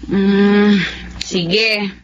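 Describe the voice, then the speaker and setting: A woman's voice: medium pitch, flat delivery, drawn-out words, slight background noise. A woman playing a kind, responsible young schoolteacher with a Filipino accent in a muffled radio drama. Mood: gentle caution.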